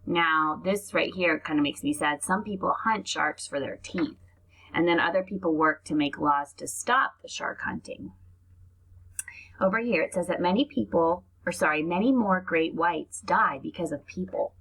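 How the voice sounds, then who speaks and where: A woman's voice: on the low side, normal speed, fairly bright tone, breathy edge, low-quality recording. A woman reading aloud to young children like a teacher, explaining things and adding asides as she goes.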